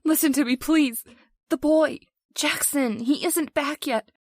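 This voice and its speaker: Casting: actress as fretful, meek wife in radio horror, very high voice, hesitant and pleading. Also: female voice, unhurried, no echo, studio quality. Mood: frightened, pleading. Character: timid, anxious wife pleading with her husband. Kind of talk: old-time radio horror acting.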